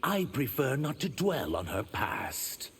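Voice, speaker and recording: A man voicing an earnest, wounded reptilian shapeshifter for a video game, measured and sincere with a gravelly edge. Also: mid-range voice, very animated, fairly bright tone, a little hiss or hum, full character voice. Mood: guarded, earnest. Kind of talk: video game character acting.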